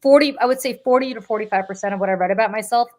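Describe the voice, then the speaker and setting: A middle-aged woman hosting a podcast in casual political chat, blunt and animated, often repeating words for emphasis. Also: a little high, very fast. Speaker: podcast host.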